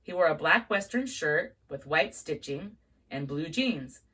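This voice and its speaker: A woman reading a book aloud in an expressive storytelling manner. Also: sits low, measured pace, husky. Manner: expressive read-aloud, carries on through interruptions. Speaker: book reader.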